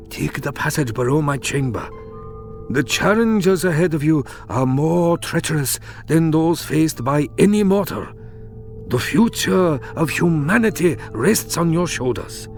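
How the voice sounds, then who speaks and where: An actor playing a wise, stately emperor of a mystical palace in a video game, speaking with measured, formal gravity. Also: male voice, on the high side. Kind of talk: dramatic video game voice acting.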